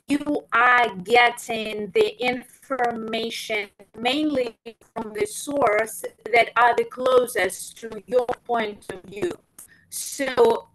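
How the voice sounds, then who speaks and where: A woman's voice: fairly high pitch, sharp tone, rough recording. A woman with a Ukrainian accent, speaking as a panelist over a video call, careful and hesitant with many fillers.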